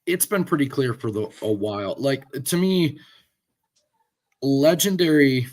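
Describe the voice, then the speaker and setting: A man with a hoarse voice from a cold, chatting casually as a podcast co-host and giving rambling, opinionated takes with lots of fillers. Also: medium pitch, lively intonation, a little slow, a little mellow. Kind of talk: casual chat.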